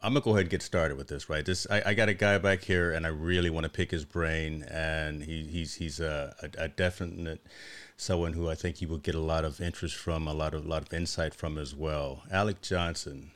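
A man's voice: a bass voice, fairly even intonation, fairly quick, fairly bright tone. A man hosting a casual live interview show in a chatty way, often repeating phrases for emphasis.

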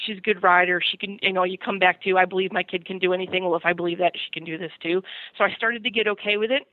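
A woman's voice: lowish voice, steady tone, fast talker, no room sound, phone-quality sound. A middle-aged woman telling personal stories casually on a podcast, candid and self-deprecating, over thin call audio. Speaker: podcast segment host.

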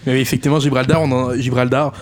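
A man's voice: medium pitch, little pitch movement, racing, noisy background. Laughing man hosting a radio show. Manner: laughing, joking, hesitant with many fillers.

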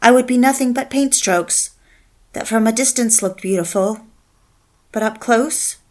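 A woman's voice: fairly high pitch, a little slow, a little mellow, close to an everyday voice. Actress playing a wistful, romantic young woman. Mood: brooding, reflective. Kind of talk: dramatic dialogue and reflective first-person narration.

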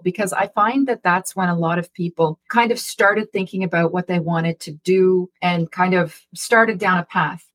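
A woman hosts a career chat as a career development practitioner. She talks in a chatty way, often hedging with "kind of". Her voice sits at an ordinary pitch for a woman. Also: fairly bright tone, poor audio. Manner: chatty, with many uses of "kind of". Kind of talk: career interview.

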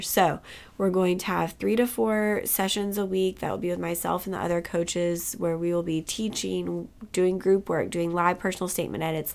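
Woman podcast host with a cold and a gravelly voice. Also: fairly low pitch. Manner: upbeat, chatty, with fillers like "uh" and "like". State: has a cold, voice strained. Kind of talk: podcast intro and announcements.

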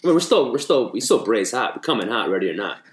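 A man's voice: mid-range voice, big pitch swings, quick pace. A man in his thirties, a comedian co-hosting a podcast, chatting playfully and riffing with puns. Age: 30s. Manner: playful, riffs and makes puns. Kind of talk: casual podcast chat. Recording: echoey room.

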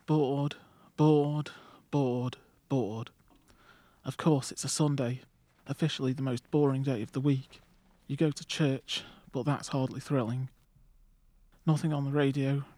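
A man's voice: medium pitch, steady tone, measured pace, warm tone, dry recording, lightly acted. A man playing a bored, sarcastic teenage boy with a Stoke accent, talking in a glum way. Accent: Stoke-on-Trent.

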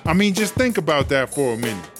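A man plays a streetwise, boastful female prison boss, pitched fairly high. The talk rambles along in profane slang, as in a comedy radio play, with music prominent behind the voice. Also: sing-song, fast, a bit bright. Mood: gossipy, emphatic. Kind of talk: comedy audio drama monologue.

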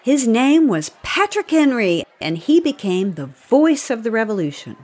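A woman presenting a story show as co-host in a scripted, animated style. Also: on the high side, unhurried. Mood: upbeat.

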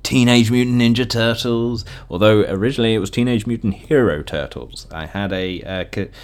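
A man's voice: fairly low pitch, normal speed, clean tone. A British man in his thirties reminiscing in a casual, rambling, chuckling way, like a podcast host talking off the cuff.

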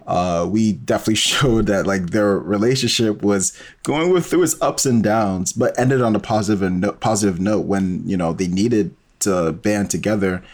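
Man, low husky voice, thoughtful, halting casual discussion, podcast guest. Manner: hesitant, thoughtful, searching for words. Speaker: podcast guest, fiction podcast creator. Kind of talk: casual reflective conversation.

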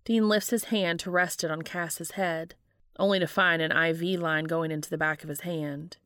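A woman's voice: low voice, steady tone, normal speed, a bit bright, dry recording, studio quality. A woman reading a story aloud in audiobook-style narration, as the reader of a fiction podcast.

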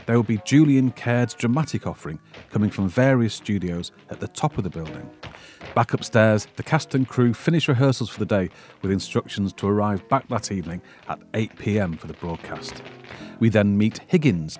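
A man's voice: on the low side, expressive, normal speed, darkish tone, soft background music. A man delivering scripted narration as the presenter of a film synopsis.

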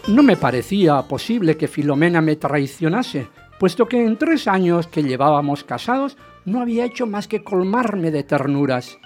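Jealous husband, radio drama, highly animated intonation, agitated, insistent, dramatic storyteller. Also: male voice, moderate pace, few pauses, faint music behind. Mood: brooding narration. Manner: dramatic, insistent, swinging from narration to heated questioning. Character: jealous, agitated husband recounting his hunt for a rumour. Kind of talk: radio theatre narration and dialogue.

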